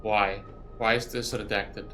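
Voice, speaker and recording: Bright-voiced man reacting to a game. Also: lowish voice, level tone, unhurried, soft background music. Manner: thinks aloud in questions and speculation. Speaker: gaming streamer reacting to a story quest. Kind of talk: casual gameplay reaction commentary.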